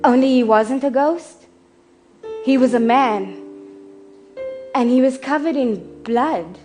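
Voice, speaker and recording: Woman acting a very high-voiced, shaken child storyteller. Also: darkish tone, faint music behind. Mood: urgent, distressed. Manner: halting, repetitive, emotionally intense delivery. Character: shaken child earnestly recounting a violent night at home. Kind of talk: dramatic storytelling monologue.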